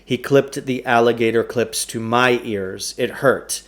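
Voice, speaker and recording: A man's voice: medium pitch, steady tone, measured pace, fairly bright tone. A man reading a children's novel aloud as a storyteller, in scripted narration.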